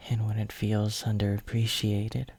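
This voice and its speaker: Slow, whispering devoted young hero played by a man. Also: on the low side, completely flat delivery, darkish tone. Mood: adoring. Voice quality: breathy, whispery. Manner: slow, tender, intimate murmuring. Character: devoted, possessive young male hero soothing his lover. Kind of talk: whispered romantic ASMR roleplay. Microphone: close microphone.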